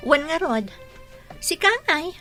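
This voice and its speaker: An actress playing a nosy young cousin with a probing, reproachful manner in a radio drama. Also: female voice, high voice, expressive, takes it slowly, pushed and bright, faint music behind, full character voice. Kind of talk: regional radio drama acting.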